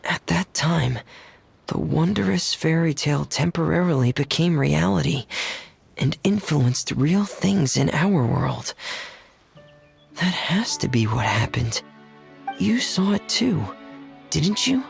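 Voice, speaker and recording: A man playing a gentle, earnest teenage boy in a video game, soft-spoken and measured. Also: fairly high pitch, lively intonation, vocal fry, soft background music, full character voice. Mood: hopeful, earnest.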